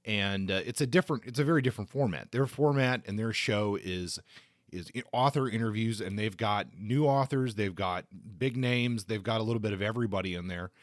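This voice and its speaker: A man's voice: fairly low pitch, fairly quick, dry recording. Casual male podcast host, dry close sound. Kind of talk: casual podcast talk and book review.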